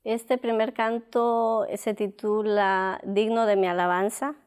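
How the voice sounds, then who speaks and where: A woman's voice: medium pitch, little pitch movement, slow, darkish tone, smooth. A woman from Guatemala with a Guatemalan accent, a guest musician giving brief, polite answers in an interview.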